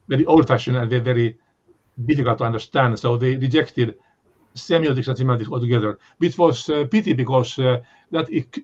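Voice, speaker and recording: An older man from Finland with a Finnish accent, giving an unscripted academic talk in a halting way, full of fillers and restarts. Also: mid-range voice, a little mellow. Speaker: lecturer. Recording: dull lo-fi recording.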